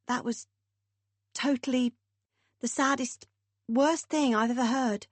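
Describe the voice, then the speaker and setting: A woman doing a gentle teenage girl. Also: high voice, steady tone, slow, no echo, voice pushed up.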